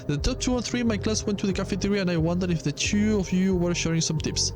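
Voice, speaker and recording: Man voicing high-pitched gossipy high school students. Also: quick pace, music in the background, natural voice.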